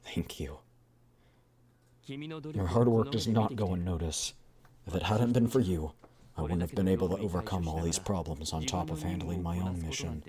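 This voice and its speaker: A streamer as a low, gentle, sincere commander. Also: a crowd in the background, natural voice. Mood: grateful, warm. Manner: measured, earnest, gentle. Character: calm, caring commander, fatherly and sincere. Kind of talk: video game story readthrough.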